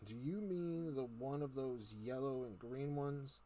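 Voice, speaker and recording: A man's voice: mid-range voice, unhurried, warm tone, lightly acted. A man voicing a polite, anxious little girl from a children's storybook. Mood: admiring. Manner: polite, pleading. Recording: noticeable background noise.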